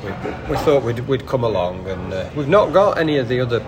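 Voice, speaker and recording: A man co-hosting a casual podcast, chatting outside by a canal with a busy crowd clearly audible behind him. His talk rambles, full of hesitant restarts and fillers, at an ordinary mid pitch for a man. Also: big pitch swings, a little fast. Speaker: podcast co-host. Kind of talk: casual chat. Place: outdoors by a canal before an away match. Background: crowd noise.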